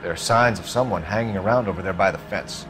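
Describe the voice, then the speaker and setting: Man playing a brisk, low-voiced young detective. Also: loud music behind the voice, natural voice. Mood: probing. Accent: American. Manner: clipped, insistent, businesslike. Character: determined, probing young male police detective. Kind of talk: dramatic video game acting.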